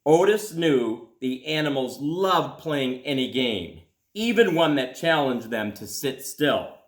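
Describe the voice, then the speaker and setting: Slow, expressive male storyteller in echoey room. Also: mid-range voice. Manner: deliberate, expressive storytelling with dramatic pauses. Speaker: school principal reading aloud. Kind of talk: children's storybook read-aloud.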